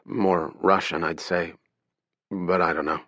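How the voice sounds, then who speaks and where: A man voicing a shaken young male hero who answers in quiet, terse words. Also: low-pitched, level tone, measured pace, no echo, highs cut off. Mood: subdued.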